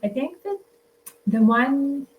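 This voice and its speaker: A woman's voice: high voice, lively intonation, slow pace, phone-quality sound, slight background noise. A woman author, a guest, telling travel stories in a casual, chatty way and laughing often. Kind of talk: casual storytelling.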